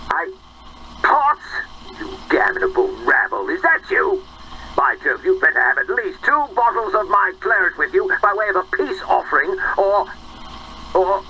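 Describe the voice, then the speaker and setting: An actor playing a pompous old-fashioned upper-class British gentleman officer in a theatrical game audio log. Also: high voice, big pitch swings, measured pace, a little hiss or hum, heavily acted, poor audio. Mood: blustering. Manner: theatrical, blustering, exclamatory.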